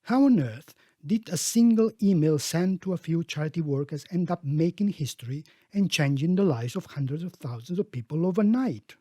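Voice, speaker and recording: A middle-aged man reading his own book aloud as its author, expressively, with emphatic, deliberate phrasing. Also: fairly high pitch, animated, warm tone, dry recording.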